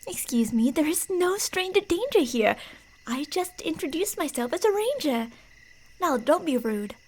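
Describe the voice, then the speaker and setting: A woman playing a creepy, delusional stalker posing as a park ranger in an ASMR roleplay, teasing and falsely sweet, slipping between soft speech and whispers. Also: high-pitched, sing-song, moderate pace. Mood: falsely sweet, menacing.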